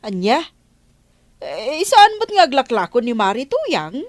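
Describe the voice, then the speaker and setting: Actress as a high-voiced, wary Ilocano shopkeeper. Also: female voice, big pitch swings, a little slow, no room sound. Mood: defensive. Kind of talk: Ilocano radio comedy drama dialogue.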